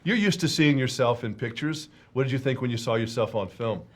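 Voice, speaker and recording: A man's voice: medium pitch, a little fast. A man hosting an interview, playful and joking with his guest. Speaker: talk show host. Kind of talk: casual interview chat.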